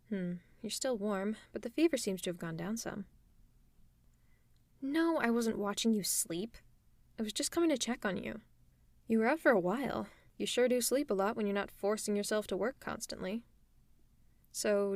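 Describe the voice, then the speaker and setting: A woman performing an audio roleplay, talking softly and teasingly to the listener as if to a friend. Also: medium pitch, no room sound. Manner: soft, caring, teasing, often near a whisper. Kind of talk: ASMR roleplay, speaking to the listener.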